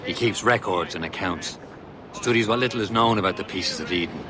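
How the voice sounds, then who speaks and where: A man playing a steady, loyal Assassin in a video game, talking in a dry, matter-of-fact way with gruff friendliness. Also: sits low, a little fast, crowd noise, close to an everyday voice. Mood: dry teasing. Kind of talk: video game dialogue acting. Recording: noisy outdoor ambience behind.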